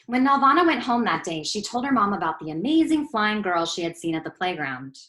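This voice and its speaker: A woman reading a children's picture book aloud as a storyteller, expressive and clearly phrased. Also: medium pitch, brisk.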